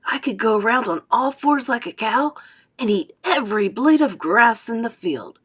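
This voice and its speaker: A woman's voice: a little high, lively intonation, normal speed, a bit bright, husky. A woman voicing an enthusiastic old grandfather in a storybook, delighted and hearty.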